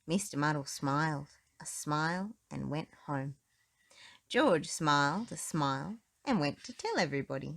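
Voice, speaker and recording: A woman with a slightly low voice reads a children's storybook aloud. Her narration is expressive, with very lively swings in pitch. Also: measured pace, creaky.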